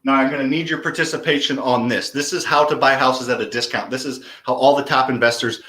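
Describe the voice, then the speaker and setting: A man's voice: mid-range voice, steady tone, quick pace, sharp tone. An American man in his thirties hosting a casual live lesson, explaining to viewers, repeating key phrases and checking in with his audience. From Missouri, US. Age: 30s. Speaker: host, real estate investing coach. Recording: echoey, roomy live-stream sound.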